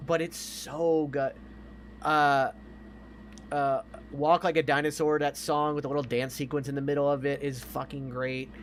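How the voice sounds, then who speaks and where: A man's voice: on the high side, a little hiss or hum. An American man in his thirties, a podcast co-host chatting casually and emphatically about films, with plenty of swearing.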